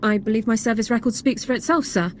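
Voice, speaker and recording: Casting: actress for composed female soldier, higher voice, formal measured diction, game dialogue over some noise. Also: close to an everyday voice. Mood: composed, earnest. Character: prim, by-the-book female army sergeant, composed. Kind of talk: scripted video game acting.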